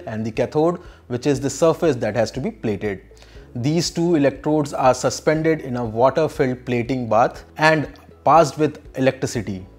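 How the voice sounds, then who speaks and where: A man's voice: medium pitch, a little mellow. Young Indian-accented man, scripted explainer. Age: young adult. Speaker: presenter for a business consultancy.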